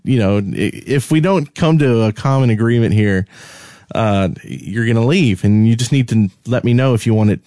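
A man's voice: mid-range voice, normal speed, dark tone, no echo, studio quality. A man co-hosting a podcast, casually telling gaming stories in a conversational way and acting out the dialogue as he goes. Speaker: podcast co-host and game server admin.

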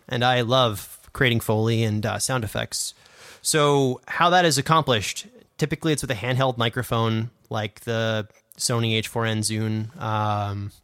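A man co-hosting a podcast, explaining casually and a bit haltingly, as if thinking aloud. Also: mid-range voice, fairly bright tone, no room sound, clean studio sound. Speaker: podcast host and sound designer.

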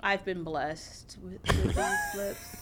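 An American woman co-hosting a talk show in casual, blunt, profane banter, telling stories in an animated way. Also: medium pitch, slow. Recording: noticeable background noise.

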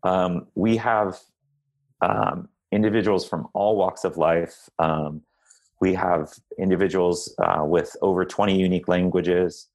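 Low-voiced man explaining in halting phrases. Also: fairly even intonation, darkish tone. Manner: measured, halting, searching for words. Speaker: interview guest, public health leader. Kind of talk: explanatory interview answers.

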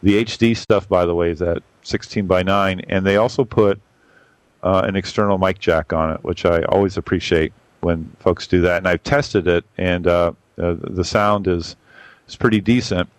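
Low-voiced man with a boomy sound. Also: fairly even intonation, normal speed, no echo. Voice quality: boomy on a mic. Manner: rambling, lots of fillers and restarts. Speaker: photography podcast regular reviewing cameras. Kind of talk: casual spoken camera review.

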